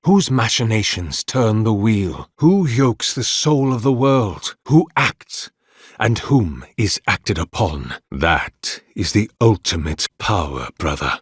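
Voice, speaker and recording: An actor playing a devout, obsessive male scholar of soul magic in a fantasy video game, speaking with formal, grandiose, deliberate diction. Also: on the low side, big pitch swings, breathy edge, no room sound, clean studio sound, full character voice. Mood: grave, reverent. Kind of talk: theatrical fantasy game acting.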